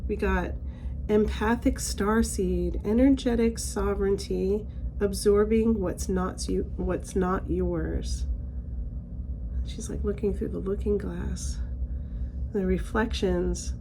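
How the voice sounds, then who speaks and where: A middle-aged woman giving a casual spiritual card reading, her voice slightly raspy as she recovers from laryngitis, chatty and rambling with many fillers and restarts. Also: medium pitch, steady tone, takes it slowly, mellow, slight background noise, low-quality recording. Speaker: oracle card reader.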